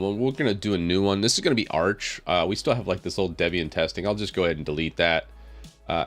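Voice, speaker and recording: A low-voiced man talks loosely on a live stream, thinking aloud as he clicks through his work. A cold leaves him a little raspy, and his talk is full of fillers and quick laughs. Faint music plays quietly underneath. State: slightly raspy from a head cold. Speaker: tech streamer. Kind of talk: casual live stream talk while working on screen.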